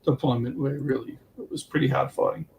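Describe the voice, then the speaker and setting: A man in casual, halting discussion as a livestream co-host, thinking aloud in an informal way. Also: mid-range voice, fairly even intonation, creaky, a little mellow, low-quality recording. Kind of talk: casual hobby and military history discussion. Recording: faint background noise, room ambience.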